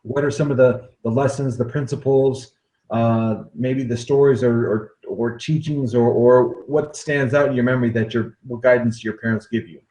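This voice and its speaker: A man hosts a conversational podcast, speaking at a middle pitch. He talks in a halting, reflective way, padding his thoughts with ums and restarts. His voice carries a noticeable room echo. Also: steady tone, mellow. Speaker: podcast host. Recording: roomy echo.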